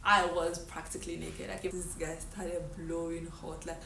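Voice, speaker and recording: A woman's voice: lowish voice, little pitch movement, moderate pace, vocal fry, sharp tone, echoey room, recorded from a distance, slight background noise, rough recording. A young Nigerian woman vlogger answering viewer questions in a breezy, chatty way, full of "like" and "okay?". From Delta State, Nigeria. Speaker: lifestyle vlogger. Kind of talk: casual get-to-know-me Q&A.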